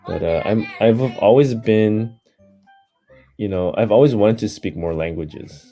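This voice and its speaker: A man hosting a livestream, chatting and reading comments in a soft, hesitant way with lots of fillers, low on energy and sleepy. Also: unhurried, soft background music. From United States. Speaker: livestream host.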